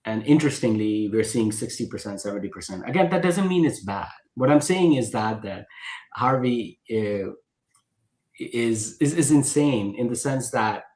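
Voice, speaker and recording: A man's voice: medium pitch, lively intonation, normal speed, reverberant, low-quality recording. A man from Pakistan hosting a podcast, talking animatedly with emphatic stresses and frequent restarts. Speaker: podcast host. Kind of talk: conversational podcast discussion.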